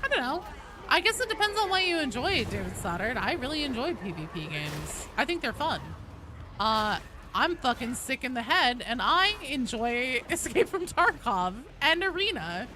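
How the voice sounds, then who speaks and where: A woman's voice: high voice, big pitch swings, moderate pace, sharp tone, slight background noise. A woman game streamer chatting casually and informally while she plays, with slangy talk and plenty of swearing. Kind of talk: casual live stream chat with gameplay commentary.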